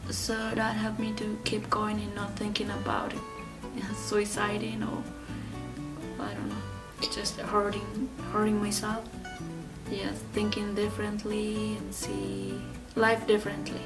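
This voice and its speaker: A woman in her thirties from Ecuador telling her own story in an interview, speaking haltingly with many fillers and restarts. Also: mid-range voice, far from the mic, loud music behind the voice. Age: 30s. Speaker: interview guest.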